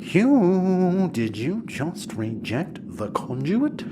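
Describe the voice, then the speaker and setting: A woman's voice: lowish voice, sing-song, drawn-out words, background noise, heavily acted. A woman playing a pompous, scheming cult leader with grandiose, theatrical delivery in a sci-fi audio comedy. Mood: indignant.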